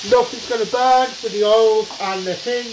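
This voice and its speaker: A man's voice: very high-pitched, fairly even intonation, moderate pace. A man hosting a casual home cooking show, chatting to viewers as he works at the stove. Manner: chatty, keeps saying "guys", working class speech. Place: home kitchen at the stove, frying sounds. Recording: noisy home kitchen with sizzling.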